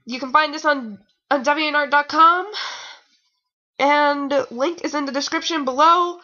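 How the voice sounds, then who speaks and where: A woman's voice: sits high, a little slow, pushed and bright. A young woman reading fan fiction aloud in a casual, informal way, with rambling, sarcastic commentary. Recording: dull lo-fi home recording.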